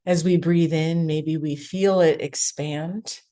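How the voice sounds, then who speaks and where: A woman teaching a guided meditation, giving gentle, unhurried instructions with long pauses between phrases. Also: sits low, fairly even intonation.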